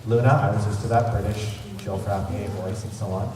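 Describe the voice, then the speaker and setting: A man's voice: fairly low pitch, steady tone, a little fast, crowd noise. Echoey, distant man hosting. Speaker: panel host. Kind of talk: informal fan convention presentation. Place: large convention centre room. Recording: echoey noisy hall, distant microphone.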